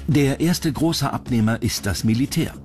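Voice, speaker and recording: A man's voice: mid-range voice, normal speed, music in the background. A man reading scripted narration as the narrator of a countdown documentary.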